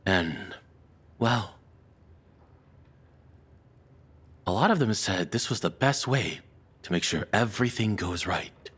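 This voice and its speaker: A man performs a lovestruck, unhinged yet apologetic male captor in an intimate audio drama. His voice sits low and is very breathy and rough-edged. He rambles and stammers nervously, his intonation swinging widely as he goes. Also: a bit bright, full character voice. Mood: obsessive, lovestruck. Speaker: audio roleplay voice actor.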